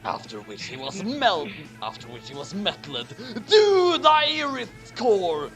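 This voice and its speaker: A man's voice: sits high, sing-song, slow, a bit bright. A man doing a casual comic read-along with friends, with a theatrical, exaggerated delivery. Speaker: host reading a fan story aloud with friends. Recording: music behind the voice. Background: background music.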